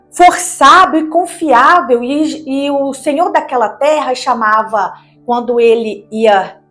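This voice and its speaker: A woman with a Brazilian accent from Minas Gerais gives a devotional Bible teaching, reading and explaining in a didactic, conversational way with rhetorical questions. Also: a little high. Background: background music.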